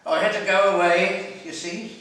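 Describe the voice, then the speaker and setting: A man voicing a lonely, retired old man in a live story reading, halting and wistful. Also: high voice, steady tone, a bit bright, pitched above the performer's own voice. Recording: echoey hall, distant microphone.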